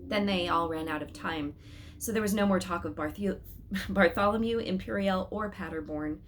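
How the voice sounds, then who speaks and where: A woman's voice: fairly low pitch, normal speed. Woman reading a story aloud, noisy recording. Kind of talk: expressive children's book read-aloud.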